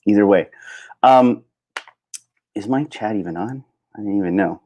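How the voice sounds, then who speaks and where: A man hosting a solo livestream in casual chat, rambling and halting as he thinks aloud. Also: fairly low pitch, dark tone, highs cut off. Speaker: livestream host.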